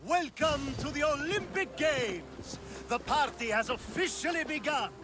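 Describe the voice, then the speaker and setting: Flirty rich athenian hedonist, slow teasing talk, very up and down, theatrical, game cutscene. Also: male voice, very high-pitched, animated, music in the background. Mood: grand, welcoming. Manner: languid, suggestive, theatrical.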